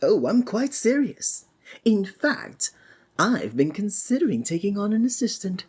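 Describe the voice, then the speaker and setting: A woman playing a flamboyant, courtly and sinister stage magician in a theatrical ASMR roleplay, with flowery, drawn-out, flattering phrasing. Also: mid-range voice, slightly rough. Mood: excited, effusive.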